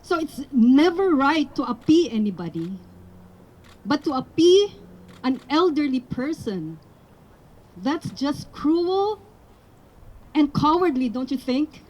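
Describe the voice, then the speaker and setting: A woman's voice: sits high, big pitch swings, takes it slowly, long pauses, darkish tone, low-quality recording. A woman from the Philippines whose first language is Tagalog, giving an earnest public speech at a rally outdoors. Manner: earnest, building to emphatic appeals. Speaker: rally speaker.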